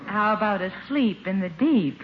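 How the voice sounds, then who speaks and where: A woman's voice: mid-range voice, animated, normal speed, reverberant, dull audio, close to an everyday voice. An actress playing a gracious, amused lady being courted, polite and genteel in 1950s radio comedy style. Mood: admiring, teasing. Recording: noisy old radio, studio audience behind.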